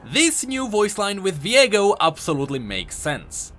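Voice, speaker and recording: A man's voice: sits high, wildly swooping pitch, measured pace, a bit bright, slight background noise. A man doing an enthusiastic, conversational voice-over commentary as a video host. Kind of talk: game lore commentary voice-over.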